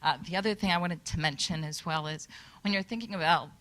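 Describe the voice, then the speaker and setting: A woman's voice: on the low side, fast talker, a bit bright, poor audio. A woman from Michigan making a nervous but earnest public comment at a workshop microphone. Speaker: audience member at a public workshop. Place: conference hall. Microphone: handheld microphone.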